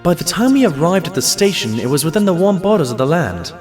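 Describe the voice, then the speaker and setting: Man as animated pony doctor narrating adventures. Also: fairly high pitch, quick pace, music in the background. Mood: reflective, wondering. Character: loyal, literate male pony doctor narrating his adventures. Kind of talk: scripted first-person narration.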